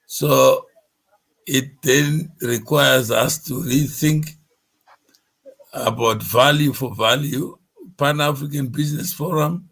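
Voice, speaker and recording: A man hosting a forum, giving slow, reflective commentary. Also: mid-range voice, creaky, a bit bright, breathy edge. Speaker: forum host.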